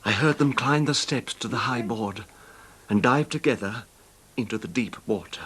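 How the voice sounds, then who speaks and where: An actor playing an older wealthy English husband, haltingly recounting his betrayal in an anguished, formal manner in a radio drama. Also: medium pitch, natural voice. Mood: heartsick, haunted. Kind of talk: 1970s radio drama acting, narrating a confession. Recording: noisy 1970s radio drama recording.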